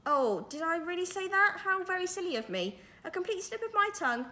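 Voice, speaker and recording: A woman's voice: very high-pitched, very animated, fairly quick, pushed and bright, voice pushed up, exaggerated voice. A woman voicing a polite, cheery old male magician who arrives as a new teacher. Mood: apologetic.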